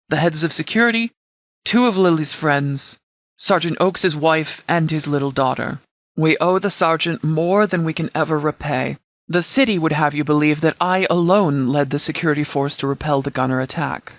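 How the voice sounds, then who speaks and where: Game sheriff played by a male actor: disciplined, protective leader, slightly high male voice, measured military briefings, very dry recording. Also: a bit bright, no echo. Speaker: sheriff and commander. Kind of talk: scripted video game dialogue.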